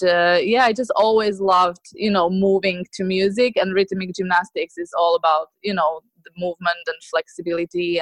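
A woman's voice: lowish voice, no echo. A woman in her thirties from Slovakia, answering interview questions about her dance group in a chatty way, with many fillers and restarts. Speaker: guest, dance teacher.

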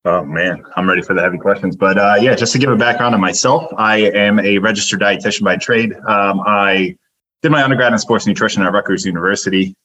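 A young man from Turkey, a native Turkish speaker, speaks in a very low voice. He tells his story in a detailed, earnest way, as a dietitian guest on a podcast. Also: bright, forceful tone, low-quality recording. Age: young adult. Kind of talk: podcast interview.

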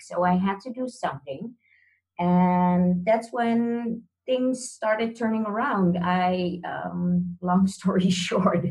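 A woman from the Netherlands with a Dutch accent, a guest in an interview over a video call, talking hesitantly with many pauses and restarts. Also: on the low side, a little mellow, poor audio.

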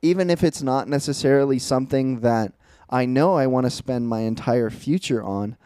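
A man's voice: medium pitch, normal speed, dark tone, clean tone, no echo, studio quality. A man in his twenties, co-hosting a casual podcast chat, telling stories in an earnest, reflective way. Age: 20s. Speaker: podcast co-host.

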